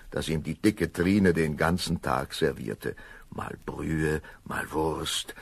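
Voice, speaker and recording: A man narrating a children's fairy tale as an expressive storyteller, savoring the words with wry relish. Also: a bass voice, gravelly, breathy edge, no echo, full character voice.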